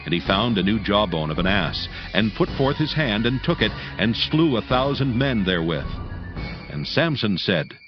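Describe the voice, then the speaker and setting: Low-voiced man narrating scripture dramatically. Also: sing-song, lo-fi sound, over music. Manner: solemn storytelling, measured phrasing.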